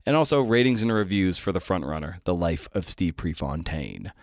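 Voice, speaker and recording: A man's voice: low voice, expressive, normal speed, dry recording, clean studio sound. A man speaking as a podcast host, introducing and interviewing a guest.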